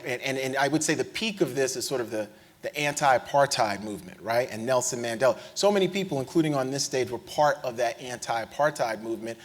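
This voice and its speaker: An animated male panelist on a lecture-hall stage who recounts history with growing energy, quoting people as he goes. He keeps checking in with "right?" and trips over restarts in a mid-pitched, ordinary voice. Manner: animated storyteller, tag questions, frequent restarts. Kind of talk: lively panel discussion.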